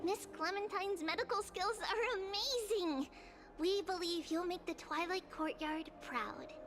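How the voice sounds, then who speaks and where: A woman's voice: extremely high pitch, unhurried. An actress voicing a childlike little girl sage in a video game, encouraging and wise. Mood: excited. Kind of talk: scripted game voice acting. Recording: music clearly behind the voice. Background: background music.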